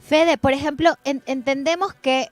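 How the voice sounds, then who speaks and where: Higher-voiced woman hosting a chat. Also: fairly even intonation, moderate pace, no echo, soft background music. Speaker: podcast co-host. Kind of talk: casual chat.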